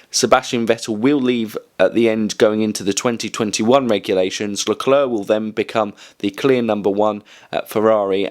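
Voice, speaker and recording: A man hosting a weekly motorsport news show, croaky from a cold, rambling casually with lots of ums and restarts. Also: medium pitch. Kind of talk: casual news commentary to camera.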